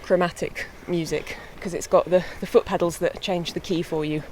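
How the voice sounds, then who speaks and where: A woman's voice: on the low side, normal speed, low-quality recording. Young woman chatting on an outdoor walk. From born in England, raised in mid Wales. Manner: relaxed, chatty, laughs lightly. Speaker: harpist and guest. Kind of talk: casual walking interview. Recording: faint outdoor noise.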